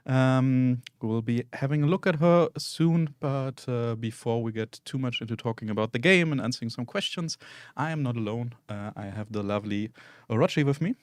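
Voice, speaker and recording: A man hosting a game stream, talking in a relaxed, hesitant way with many ums and uhs. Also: mid-range voice, moderate pace, hardly any pauses, no room sound, clean studio sound. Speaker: stream host. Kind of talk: casual game-stream chat.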